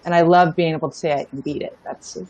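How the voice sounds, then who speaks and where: A woman's voice: sits low, fairly even intonation, quick pace, darkish tone, no echo, poor audio. A middle-aged woman talking casually as an interview guest, telling stories in a candid, emphatic way and often checking in with the listener. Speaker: interview guest, startup founder. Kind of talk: casual conversational storytelling.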